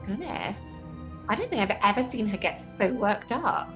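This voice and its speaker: A woman's voice: medium pitch, sing-song, normal speed, highs cut off, music in the background, lightly acted. A woman playing a soothing, fussy theatre actress in an audio horror drama, theatrical and placating. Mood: uneasy, sympathetic.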